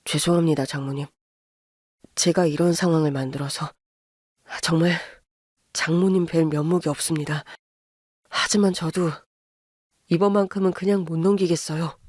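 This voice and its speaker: A woman voicing a mild young husband who speaks low, measured and firm, holding back anger. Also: steady tone, darkish tone, no room sound, studio quality.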